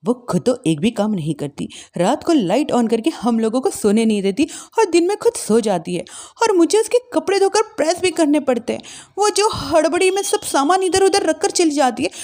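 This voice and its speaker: Lady doing a resentful bhabhi in a household story, high voice, whiny, aggrieved, pitch all over. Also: very animated, moderate pace, a little mellow. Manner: whining, aggrieved. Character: overworked, resentful elder sister-in-law, complaining housewife.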